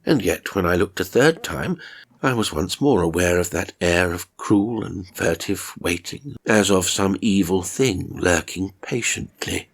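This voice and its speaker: A man reading a first-person horror story as scripted narration, dramatic and suspenseful in his delivery. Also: low voice. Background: music.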